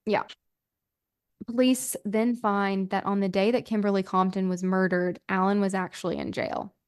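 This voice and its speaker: A woman's voice: mid-range voice, fairly even intonation, moderate pace, clear, resonant tone. A woman hosting a true-crime podcast, telling the story in a casual, conversational way peppered with "like". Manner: informal, hedging, filler-heavy with "like".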